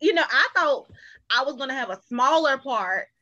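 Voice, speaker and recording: A woman's voice: high-pitched, lively intonation, moderate pace, sharp tone. A woman chatting casually as a cast member in an interview, on dull lo-fi audio. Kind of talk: casual chat.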